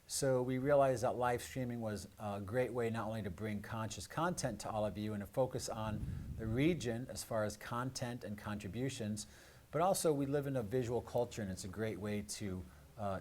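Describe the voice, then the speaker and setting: A man hosting a live radio and streaming show, speaking off the cuff in a halting way with frequent pauses. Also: on the low side, a little mellow, slight background noise. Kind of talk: unscripted show introduction.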